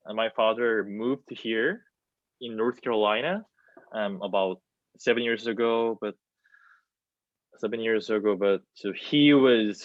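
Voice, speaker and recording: A man's voice: lowish voice, dry recording. A man in his twenties, a non-native English speaker, talking hesitantly as a student panelist and searching for words on a dull video call. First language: not English. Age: 20s. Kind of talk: informal panel discussion. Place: plain room indoors. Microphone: wireless earbuds on video call.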